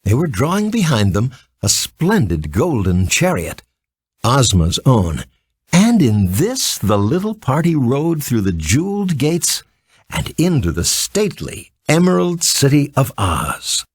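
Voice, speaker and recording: A man reading a scripted children's story as an expressive, theatrical narrator. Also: medium pitch, unhurried, breathy edge, no room sound.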